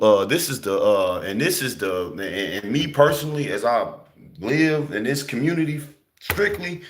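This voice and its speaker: A young man talking in a casual, slangy preaching style, emphatic and often jumping high for emphasis. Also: fairly bright tone. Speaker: host.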